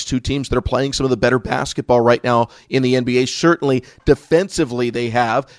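A man's voice: medium pitch, no room sound, studio quality. A man hosting a sports podcast, talking as a basketball play-by-play broadcaster.

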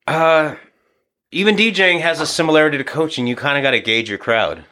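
A man in his thirties, a boxing coach and former DJ, talking casually and profanely on a podcast, telling stories and acting out quick asides. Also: a little high, pushed and bright.

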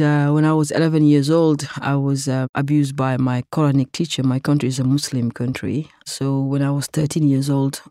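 A middle-aged woman from Senegal, telling her life story in an interview, earnest and halting with many fillers. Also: extremely low pitch, dark tone, no room sound, clean studio sound. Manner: earnest, hesitant with many fillers, laughs briefly. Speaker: guest. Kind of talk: personal interview account.

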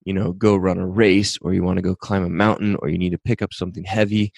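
Hoarse man, upbeat fitness podcast host, lowish voice, dry close sound. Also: fast talker, dry recording. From Pacific Northwest, USA. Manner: upbeat, energetic, pep-talk delivery. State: hoarse, losing his voice. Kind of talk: casual solo podcast talk with sponsor reads.

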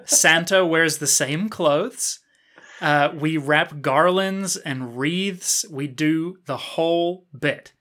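A New man hosts a podcast, with a bright, fairly high voice. He chats casually and warmly affirms his guest, dropping in playful, humorous asides. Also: takes it slowly. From New Zealand. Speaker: podcast host. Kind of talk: casual interview chat.

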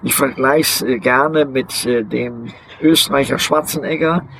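Older guy with a gravelly voice, umming and ahing a lot, outdoors with a little background hum. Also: mid-range voice, fairly even intonation, fairly bright tone, poor audio. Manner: deliberate, hesitant, many filler sounds. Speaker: interviewee, long-term overland traveler. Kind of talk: outdoor interview. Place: outdoors beside a vehicle museum.

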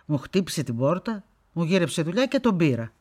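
A woman's voice: on the low side, sing-song, a little mellow. Stern, guarded old farm woman. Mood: guarded, matter-of-fact. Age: older. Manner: dismissive, curt. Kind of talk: naturalistic radio drama acting.

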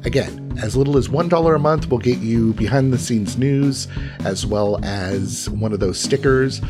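A man talks casually as the host and game master of a podcast. His pitch is average, and guitar music plays clearly behind him. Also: moderate pace. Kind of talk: casual intermission announcement and game narration.

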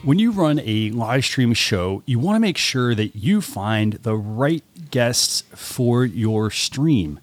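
A man co-hosting a podcast, introducing the topic and the guest in a relaxed, conversational way. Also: medium pitch, takes it slowly, few pauses. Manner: easygoing, with occasional fillers. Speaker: podcast co-host.

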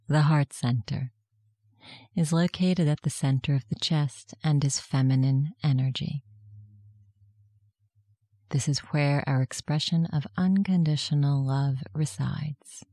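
A meditation guide giving gentle, soft-spoken, measured instructions in a scripted guided meditation. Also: mid-range voice, fairly even intonation, no echo, clean studio sound.

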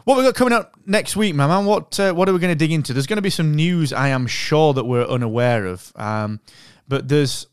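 A man's voice: on the high side, lively intonation, a little fast, few pauses, clean tone, no room sound, clean studio sound. A man co-hosting a podcast in a casual, chummy, jokey way. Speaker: podcast co-host. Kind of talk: casual chat.

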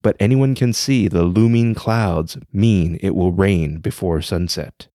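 Man doing a calm old bearded mystic, storybook, wry and unbothered, low-ish. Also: unhurried, no room sound, clean studio sound.

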